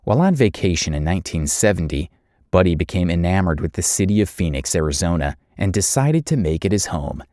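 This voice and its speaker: A man's voice: deep voice, sing-song, brisk, mellow, no echo, clean studio sound. A man reading scripted narration as the narrator of a documentary.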